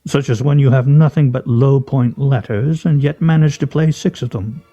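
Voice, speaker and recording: A man's voice: mid-range voice, fairly even intonation, moderate pace, mellow, lo-fi sound, lightly acted. An actor in a radio play playing a witty, pompous man nearing fifty, with ornate phrasing and wry, theatrical delivery. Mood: pompous, insistent.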